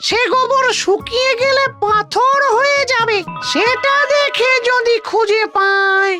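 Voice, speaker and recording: A performer voicing a grumpy, weary runaway male ghost in a fairy-tale cartoon, muttering and complaining in drawn-out phrases. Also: very high voice, over loud music. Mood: relieved, uneasy.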